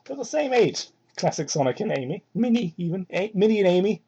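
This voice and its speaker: A woman's voice: very animated, fairly quick, dark tone, dull audio, slight background noise. A woman giving a comedic dramatic reading of fan fiction with exasperated, sweary asides, recorded on a crude microphone. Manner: exasperated, sweary, heckles the text. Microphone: cheap home microphone. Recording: crude, low-quality microphone.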